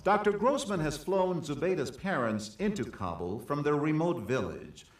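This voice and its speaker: A man narrating a documentary news report in a measured, scripted delivery. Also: fairly high pitch, big pitch swings, reverberant, distant mic, a little hiss or hum.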